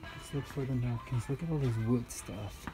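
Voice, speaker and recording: A man's voice: mid-range voice, moderate pace, music in the background. A man in his thirties filming a casual shopping vlog on a phone held at arm's length, gushing over decor in big stores and outside in a parking lot. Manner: chatty, gushing, enthusiastic. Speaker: home decor vlogger.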